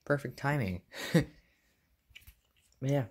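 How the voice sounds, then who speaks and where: A young American man with a medium-pitched voice talks viewers through a hobby in a casual way. His phrases are short, plain and offhand. It sounds like a handheld phone video made in a bedroom. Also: takes it slowly, a little mellow, a little hiss or hum. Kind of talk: casual show-and-tell narration. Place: small carpeted bedroom, indoors. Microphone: handheld phone behind the camera.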